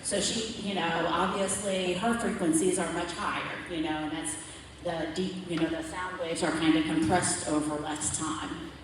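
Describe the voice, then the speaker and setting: A woman's voice: fairly even intonation, normal speed, a little hiss or hum. A woman giving a plain, explanatory slide presentation at a community forum, often checking in with "okay?". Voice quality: low voice. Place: large echoey meeting hall. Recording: echoey hall, voice from far off.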